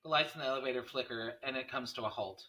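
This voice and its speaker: A man's voice: medium pitch, fast, bright, forceful tone, low-quality recording. A man narrating scene headings and stage directions at a screenplay table read.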